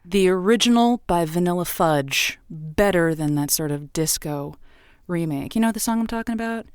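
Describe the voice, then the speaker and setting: A woman's voice: fairly low pitch, moderate pace, clear, resonant tone, no echo, clean studio sound, natural voice. A woman playing a stammering radio advice host. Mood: flustered. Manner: hesitant, stumbling and restarting. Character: waffling, people-pleasing female radio advice host. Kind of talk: improvised audio drama.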